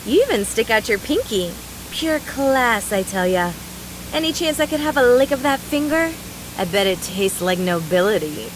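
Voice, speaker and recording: A woman's voice: on the high side, very animated, normal speed, noisy background. A woman voice-acting a brash, mischievous young woman fighter from a video game, teasing in a playful, folksy, mock-serious way.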